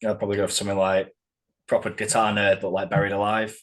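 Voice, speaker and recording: Low-voiced, informal male guitarist. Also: little pitch movement, fast talker. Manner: blokey, informal and slangy. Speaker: guitarist in a metalcore band. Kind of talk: casual chat in an interview.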